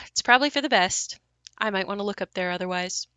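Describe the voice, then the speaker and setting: A woman's voice: mid-range voice, brisk, pushed and bright, dry recording, close to an everyday voice. A woman acting a wistful, reflective grown daughter haunted by her ruined childhood home in an audio play. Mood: wry, casual.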